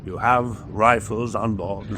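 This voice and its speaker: An actor playing a coolly persuasive, ruthless adviser aboard a U-boat in a radio drama, formal and insinuating. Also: male voice, fairly low pitch, steady tone, slow pace, sharp tone, a little hiss or hum. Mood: ruthless, insistent.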